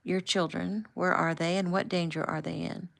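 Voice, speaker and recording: A woman voicing a calm, authoritative man who speaks in a steady, reassuring and firm way. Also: on the low side, normal speed, gravelly. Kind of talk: audiobook character voice.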